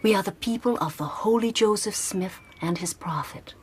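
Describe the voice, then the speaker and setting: An actress playing a gentle, devout pioneer woman in a radio drama, hushed and reverent. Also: medium pitch, moderate pace, slight background noise, close to an everyday voice.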